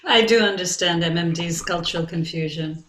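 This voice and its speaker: A woman playing a reflective modern Bengali narrator, telling a poet's life in measured, expressive scripted narration. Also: lowish voice, natural voice. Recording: echoey, roomy sound.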